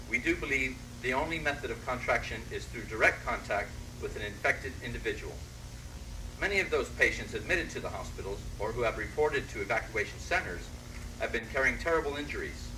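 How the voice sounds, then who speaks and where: Picked up at a distance with clear noise, a grave medical official acted by a man gives a formal press statement. The voice is mid-pitched, sober and deliberate, in the manner of an emergency drama. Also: lightly acted.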